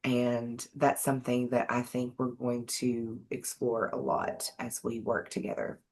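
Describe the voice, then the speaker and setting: A woman with a Southern American accent, speaking earnestly and in a measured way in a casual conversation. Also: very deep voice, flat delivery, a little mellow. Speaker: co-host, advocate.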